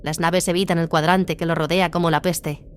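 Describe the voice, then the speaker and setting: A woman's voice: on the low side, fairly even intonation, normal speed, clear, resonant tone, close to an everyday voice. A woman playing a devout, vigilant warrior nun in a sci-fi audio drama, terse and serious. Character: devout, vigilant battle sister, female warrior.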